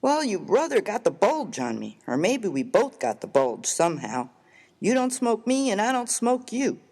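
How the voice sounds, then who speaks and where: A man with an American accent playing a rough, slangy, grieving miner of the Old West in a dramatized comic story, with a folksy, emphatic delivery. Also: high-pitched, big pitch swings, moderate pace, darkish tone, heavily acted. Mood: earnest, slangy. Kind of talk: dramatized reading of a humorous story. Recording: reverberant hall-like room.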